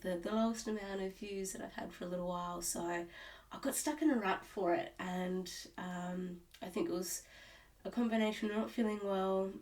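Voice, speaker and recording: A woman's voice: mid-range voice, fairly even intonation, moderate pace, darkish tone, distant mic. An Australian woman vlogging about cross-stitch in a casual, rambling way, sounding nasal and stuffed up while recovering from illness, talking at home. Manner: rambling, with many restarts and fillers. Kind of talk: casual chat to camera. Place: at home, indoors.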